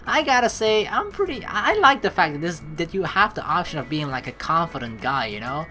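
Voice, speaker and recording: A woman hosting a game playthrough, reading narration aloud and adding casual commentary in a playful, expressive manner. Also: fairly low pitch, a little fast, faint music behind. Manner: playful, expressive, acts out lines. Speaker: let's play host.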